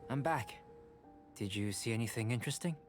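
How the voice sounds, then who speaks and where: A man playing a young alchemist in a video game, speaking in a calm, precise, formal and detached way. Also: medium pitch, a little fast, lightly acted. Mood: curious, measured. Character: calm young male scholar alchemist, detached, methodical, courteous. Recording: game music behind.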